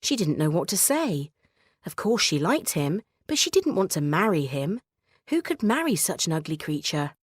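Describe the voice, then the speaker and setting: A woman narrates a children's bedtime story in a measured, expressive way. Her voice sits a little low, and the recording is very dry with no room sound. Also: husky, dry recording.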